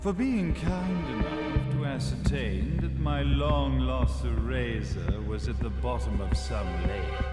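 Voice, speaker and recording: Man playing a slow, grandiose villain sage in echoing hall. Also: mid-range voice, natural voice. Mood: mocking, coolly menacing. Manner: pompous, theatrical, deliberate phrasing. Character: grandiose villainous male sage, coolly menacing zealot. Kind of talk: dramatic video game villain monologue. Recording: echoey hall, long reverb, music behind.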